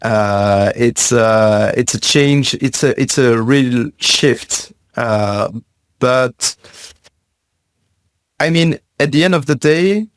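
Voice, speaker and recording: A man from Belgium answering interview questions in a thoughtful, halting way, with frequent fillers and repeated words. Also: medium pitch, clear, resonant tone, no echo. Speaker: podcast guest, science communicator.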